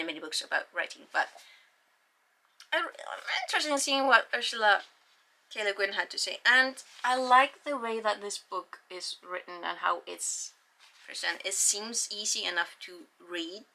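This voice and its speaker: Bright-voiced Icelandic woman chatting about books. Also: medium pitch. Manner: rambling, chatty, with frequent sighs and ums. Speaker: book vlogger. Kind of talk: casual book haul chat.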